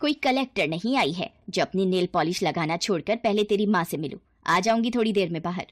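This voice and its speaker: A woman's voice: on the low side, animated, brisk, no echo, clean studio sound, close to an everyday voice. A woman voicing a middle-aged mother-in-law in a children's moral story, haughty and sarcastic in manner. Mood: scornful, sarcastic. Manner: sarcastic, scolding.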